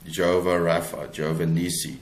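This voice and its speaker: Male interview guest, South African accent, low-pitched, thoughtful and halting delivery, echoey room. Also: flat delivery. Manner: halting, repeats words as he searches for phrasing. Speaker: guest author. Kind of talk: reflective interview talk. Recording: roomy, echoing sound.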